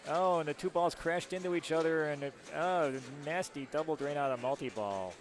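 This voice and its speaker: A man from Rochester giving live pinball tournament commentary, calmly explaining the rules and scoring of each shot. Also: on the high side, moderate pace. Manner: explanatory, rule-focused play-by-play. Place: tournament hall. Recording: noisy crowd in the background.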